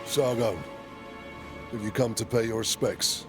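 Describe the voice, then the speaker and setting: An actor playing a lonely, brooding prince in a game, solemn and gravelly. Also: male voice, medium pitch, fairly even intonation, measured pace, lightly acted. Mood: cold, bitter. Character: lonely, brooding adult prince burdened by kingship. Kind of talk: dramatic video game acting. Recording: music behind the voice. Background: music.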